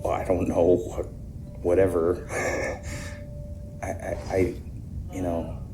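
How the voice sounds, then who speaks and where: Low-voiced, halting skeptical man played by an actor. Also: little pitch movement, gravelly, background noise, full character voice. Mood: nervous, reasoning. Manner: halting, stammering, conversational. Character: skeptical, rattled man trying to reason with a frightened girl. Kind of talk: naturalistic fiction podcast acting.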